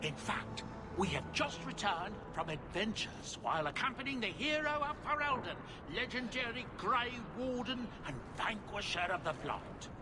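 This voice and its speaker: A man's voice: high voice, big pitch swings, normal speed, few pauses, background noise. A man voicing a cheerful, courteous dwarf merchant and proud father in a video game, with florid salesman manners.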